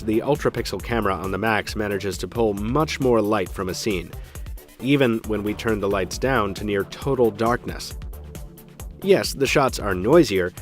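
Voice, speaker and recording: A man with a somewhat low voice reads a scripted gadget review as the host. He leans on key words for emphasis and drops in dry, wry asides. Faint music plays underneath. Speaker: tech reviewer, show host. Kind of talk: scripted product review narration.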